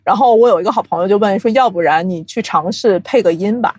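A woman's voice: mid-range voice, quick pace, a little mellow, no echo. A woman talking casually as a podcast guest, jokey and self-deprecating, telling anecdotes close to the mic. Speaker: podcast guest, company CEO and voice actor.

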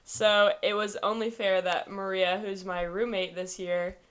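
Young woman host, 20s, chatty and upbeat, medium pitch, casual podcast chat. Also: level tone, a little slow, smooth. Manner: chatty, upbeat, leads the conversation.

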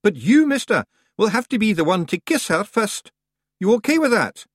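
Small Scottish fairy man, brash, boisterous, raised pitch, swooping delivery. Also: very animated, normal speed, dry recording, clean studio sound. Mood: brash cheery. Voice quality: broad and boisterous. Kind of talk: audiobook character voice.

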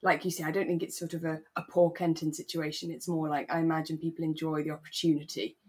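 A woman co-hosting a podcast, chatting in a relaxed, conversational way as if checking in with a friend. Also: low-pitched, fast, slightly rough. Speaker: podcast co-host. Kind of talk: relaxed casual chat recapping a radio drama.

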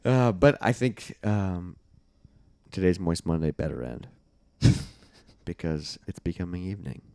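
A man's voice: low-pitched, measured pace, gravelly, dark tone, smooth, no echo. A young man co-hosting a podcast in casual chat, voice scratchy and close to giving out, rambling and swearing a lot. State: scratchy, near losing his voice. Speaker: podcast co-host.

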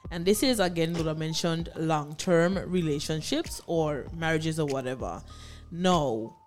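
A woman co-hosting a radio talk show, posing drawn-out, emphatic questions in a teasing way. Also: low-pitched, soft background music.

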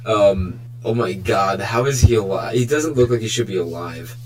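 A young man hosting a casual podcast, riffing excitedly and swearing as he jokes with friends. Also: fairly low pitch, normal speed, slight background noise, poor audio. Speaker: podcast host. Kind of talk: casual joking group banter.

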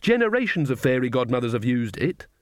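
A man's voice: mid-range voice, very animated, fairly quick, natural voice. A man voicing an earnest younger woman, a timid, polite witch who sounds hesitant and anxious in an audiobook. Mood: earnest, heated. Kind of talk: audiobook character voice.

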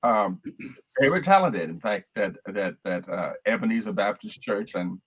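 An older man from Alabama, a choral conductor who reflects and tells stories in a relaxed chat, often restarting his sentences. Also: on the low side, measured pace, husky. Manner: reflective, storytelling, explains with many restarts. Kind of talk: casual interview chat.

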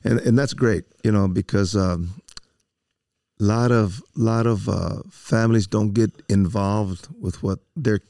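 A man's voice: fairly low pitch, fairly even intonation, slow pace, creaky, dark tone, no echo. A man in his seventies hosting a casual, folksy conversational interview, dropping in Spanish filler words. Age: 70s. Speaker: interview host.

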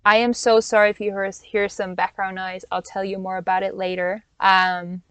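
A woman's voice: mid-range voice, flat delivery, normal speed, clear, resonant tone, no echo. Earnest young woman hosting a podcast. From Norway. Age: 20s. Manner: earnest, encouraging, with restarts and small laughs. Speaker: podcast host and life coach. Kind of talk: solo podcast talk. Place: inside a van.

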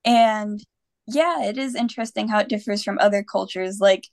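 A woman's voice: medium pitch, moderate pace, creaky, dry recording, clean studio sound. A woman from Pennsylvania, a podcast guest, speaking in a thoughtful, earnest way with many fillers. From Pennsylvania, USA. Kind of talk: casual podcast chat.

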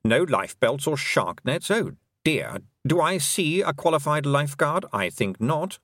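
A man's voice: fairly high pitch, big pitch swings, a little slow, a bit bright, no room sound, studio quality, pitched above the performer's own voice, full character voice. Man doing a prim grumbling toad. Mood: fussy. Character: fussy complaining talking toad.

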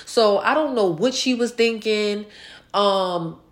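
A woman's voice: mid-range voice, slow. A woman in her late thirties hosting a casual online chat show, speaking bluntly and repeating phrases for emphasis. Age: late 30s, turning forty. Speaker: host of a live online show. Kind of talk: casual celebrity gossip and personal chat to followers.

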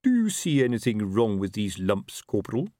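A man's voice: on the low side, very animated, moderate pace, mellow, no room sound, clean studio sound. A man voicing a petty, self-important watch captain in an audiobook, pompous and suspicious. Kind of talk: audiobook character voice.

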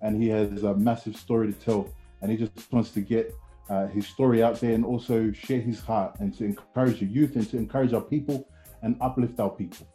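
A man's voice: on the low side, steady tone, fairly quick, mellow, faint music behind. A man hosting a podcast interview, hesitant and encouraging, with many fillers. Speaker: podcast host.

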